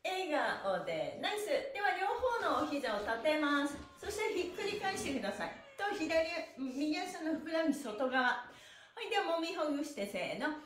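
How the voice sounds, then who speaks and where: A middle-aged woman leading a stretching class, cheerful and encouraging, with energetic sing-song calls and rhythmic chants. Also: high voice, moderate pace. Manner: energetic, moving while talking. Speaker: stretching instructor and pantomime performer. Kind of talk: live exercise class with rhythmic counted chants. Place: small indoor room, seated on a mat. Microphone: camera a few meters away. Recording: roomy echo, distant microphone.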